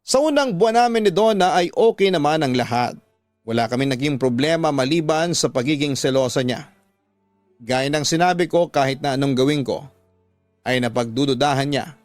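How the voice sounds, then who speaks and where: A man's voice: fairly high pitch, animated, moderate pace, no echo, soft background music. A man with a Filipino accent narrating a scripted first-person story in the style of a radio drama.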